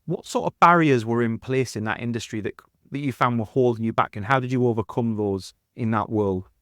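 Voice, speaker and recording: A man's voice: mid-range voice, fairly quick, a bit bright, dry recording, clean studio sound. A man in his thirties hosting a podcast, asking questions in an enthusiastic, complimentary way. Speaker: podcast host. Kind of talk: podcast interview.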